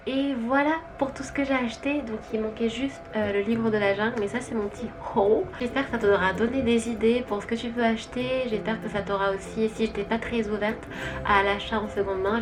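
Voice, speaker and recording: A young woman with a Quebec French accent, chatting gently through a casual haul vlog at home, thinking aloud as she goes. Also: medium pitch, low-quality recording. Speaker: vlogger and stay-at-home mother. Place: at home with her baby asleep nearby.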